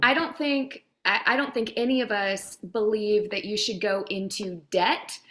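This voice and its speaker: A woman's voice: medium pitch, a little slow, a bit bright. Woman, mid-range voice, conversational, advisory, explanatory, informal question-and-answer guest. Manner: explains with everyday analogies. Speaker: guest voice demo producer. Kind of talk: conversational advice in a Q&A.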